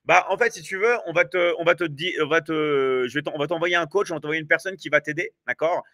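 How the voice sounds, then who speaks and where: Man, live stream host, eager, filler-heavy, bright tense voice, slightly high, dry close sound. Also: quick pace, no room sound. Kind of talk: live stream sales chat. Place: at home in South America.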